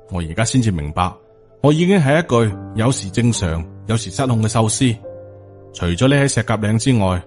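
A man's voice: fairly low pitch, a little mellow, lightly acted. A man voicing a brooding undead Taoist master. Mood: grim, resigned. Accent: Hong Kong Cantonese. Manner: slow, emphatic, stern. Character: grim Taoist master turned undead, short-tempered, brooding, protective.

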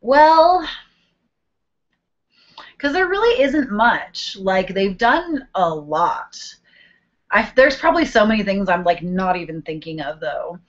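A woman's voice: mid-range voice, sing-song, slow, long pauses. A woman in her twenties talks in a loose, chatty way, humming to herself as she hunts for the next viewer question. She is recorded on a webcam in a small bedroom. The audio is a little dull and lo-fi. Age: 20s. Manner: chatty and rambling, hums while searching. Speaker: vlogger answering viewer questions. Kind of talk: casual chat. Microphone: webcam video call.

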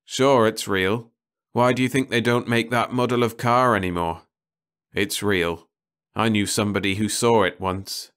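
A man plays a knowing husband in an audiobook story. He explains things firmly and matter-of-factly in a clear, fairly low voice. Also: steady tone, a little slow, a bit bright. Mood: certain. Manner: firm, explanatory. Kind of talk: audiobook character voice.

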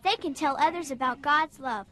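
A woman's voice: high-pitched, moderate pace, a bit bright. A woman narrating a children's program in scripted voice-over, chatty and kid-friendly. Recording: voice-over over background music.

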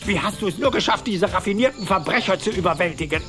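A man's voice: high-pitched, lively intonation, quick pace. A man playing a miserly, blustering old duck uncle in a German cartoon radio play. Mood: pleased, brisk. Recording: music behind.

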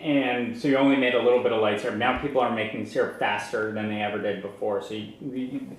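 A man's voice: medium pitch, fairly even intonation, fast. A young man talks like an informal tour guide. He restarts his phrases as he explains. The room rings heavily around his voice, and there is a little background noise. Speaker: maple farmer giving a tour. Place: large farm sugarhouse and tasting room. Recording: echoey farm building, some background noise.

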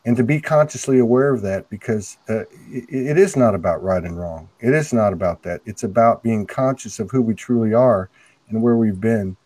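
A middle-aged man with a loud, carrying voice talking earnestly on a video call, full of restarts, through an earphone mic at home. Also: on the low side, no room sound. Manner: earnest, halting, many restarts. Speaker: host. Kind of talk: spiritual video call conversation. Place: home room, indoors. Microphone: earphone headset mic on a video call.

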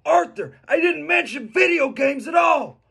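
A man voicing an earnest, exasperated young cowboy gunslinger from a western video game parody, with a raspy, loud drawl. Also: very high voice, expressive, measured pace, heavily acted.

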